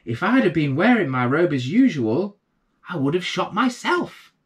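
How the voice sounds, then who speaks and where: A man voicing an excitable, foolish husband from a storybook folk tale, exclaiming his words. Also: a little high, moderate pace, voice pushed up. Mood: amazed relief.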